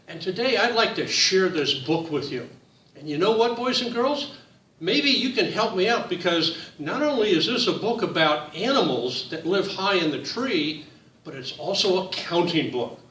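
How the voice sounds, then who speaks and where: A man reading his picture book aloud to children, in a slow, sing-song storytime voice that speaks to them directly. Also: sits high, fairly bright tone, echoey room, far from the mic, a little hiss or hum, poor audio.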